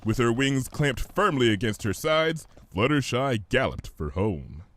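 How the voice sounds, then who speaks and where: A man narrates a story in an expressive, scripted reading style. His pitch is average but moves very widely, and the sound is dry with little room. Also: unhurried, no echo. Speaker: fan fiction reader, narrator.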